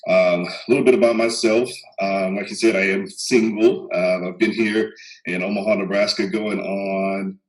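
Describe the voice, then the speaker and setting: A man's voice: low voice, steady tone, rough recording. A man in his thirties with a faint Texan accent, a psychologist talking casually on a panel with many ums and restarts. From Texas. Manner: measured, many "um"s and restarts, chuckles. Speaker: guest psychologist.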